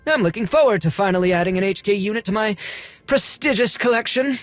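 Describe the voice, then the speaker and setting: A man's voice: high-pitched, animated, fast, no echo, faint music behind. A man playing a fussy, pompous and nervous collector of rare droids in a theatrical video game style. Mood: scheming, pompous. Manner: pompous, theatrical, flustered under pressure. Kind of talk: video game cutscene acting.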